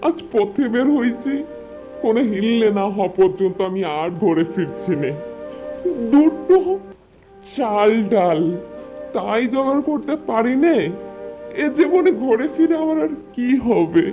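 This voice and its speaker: A man acts out a poor, worn-out village husband from a cartoon folk tale. His male voice is high-pitched and slow, drawing out plaintive rustic pleas. Music plays clearly behind him. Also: animated. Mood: despairing. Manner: plaintive, drawn-out, rustic speech. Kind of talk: Bangla animated folk-tale dubbing.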